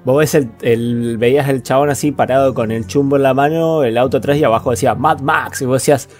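A man's voice: medium pitch, fairly even intonation, brisk, a little mellow, soft background music. A man with an Argentine accent co-hosting a podcast, chatting casually about films in slangy language with plenty of swearing. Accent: Argentine Spanish. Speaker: podcast co-host. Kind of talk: casual film chat.

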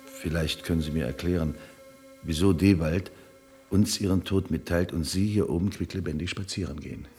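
An actor playing a wondering, uneasy newcomer to a space city in a radio play, hesitant and reflective. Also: male voice, low voice, normal speed, a little mellow, faint music behind, lightly acted. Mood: stunned, doubting.

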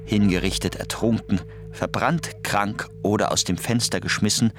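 A man's voice: on the low side, moderate pace, fairly bright tone. A man narrating a scripted documentary piece. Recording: music under the voice. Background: music.

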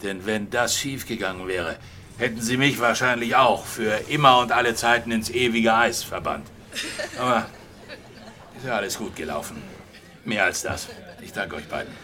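Businesslike police chief, bright forceful voice. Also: male voice, on the low side, moderate pace, a little hiss or hum. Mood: wry relief. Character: police chief, businesslike, wry, thrifty, addressing his team. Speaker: actor in a radio drama. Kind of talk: German radio crime drama acting.

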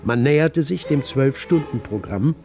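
Casting: male actor as ironic chronicle narrator, medium-pitched, scripted radio satire, faint music underneath. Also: animated, normal speed, lightly acted. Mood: wry.

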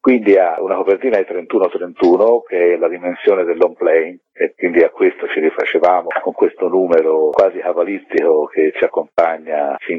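A man's voice: deep voice, husky, no room sound. A middle-aged man giving discursive, explanatory answers as an interview guest. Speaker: interview guest, magazine curator. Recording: tinny phone line.

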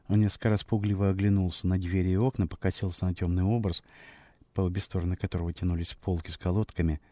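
A man narrating a classic short story for radio in a measured, expressive literary manner with dramatic pauses. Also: deep voice.

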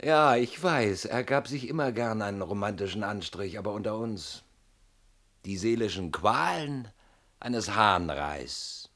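Haunted self-justifying man, deep husky voice, brooding, defiant, theatrical radio play delivery. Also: fairly bright tone, exaggerated voice. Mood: defensive, scornful, then brooding, weary. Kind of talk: German radio play acting.

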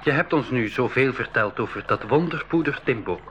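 Casting: man to play curious, eager boy in a radio play, highly animated delivery, dull recording. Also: brisk, a little hiss or hum, close to an everyday voice. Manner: inquisitive, animated. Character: eager, curious boy adventurer who also narrates. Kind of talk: 1980s school radio drama acting.

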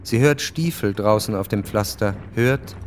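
A man's voice: lowish voice, measured pace, slight background noise. A man reading scripted narration for a radio drama.

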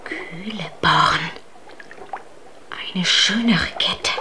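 A woman playing a tender, devoted water spirit who pleads in soft, breathy whispers. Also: medium pitch, slow pace, a little hiss or hum, lightly acted. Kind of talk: radio drama acting.

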